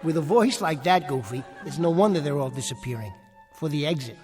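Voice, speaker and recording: An actor playing an uneasy nightclub ventriloquist in a radio play. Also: male voice, on the high side, lively intonation, a little fast, over music, close to an everyday voice. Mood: showy. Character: uneasy, troubled nightclub ventriloquist doing straight-man patter.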